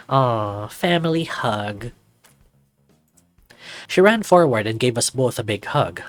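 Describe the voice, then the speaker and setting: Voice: medium pitch, no room sound. A narrator reading a scripted first-person visual novel story.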